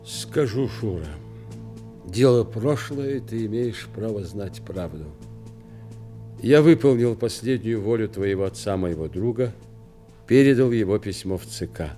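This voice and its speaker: An actor playing a grave, loyal middle-aged officer and family friend in a Soviet radio drama. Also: male voice, lowish voice, animated, measured pace, mellow, soft background music. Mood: grave, frank.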